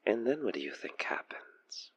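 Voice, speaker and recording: A man acting an obsessed, possessive stalker killer in an audio roleplay, teasing, coaxing and menacing, with breathy, intimate delivery. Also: on the low side, big pitch swings, normal speed, no room sound, highs cut off. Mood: taunting, playful menace. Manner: theatrical, teasing, intimate, dropping into breathy near-whispers. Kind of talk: audio roleplay monologue spoken to the listener over a phone call.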